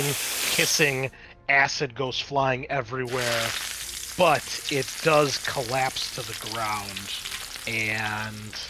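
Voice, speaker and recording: A man acting as game master, narrating a tabletop role-playing game and talking players through the rules. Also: mid-range voice.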